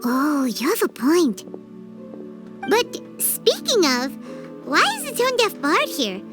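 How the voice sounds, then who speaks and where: A woman voicing a tiny, childlike fairy companion in a video game dub, squeaky, naive and excitable. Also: slow pace, music in the background, full character voice. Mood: curious.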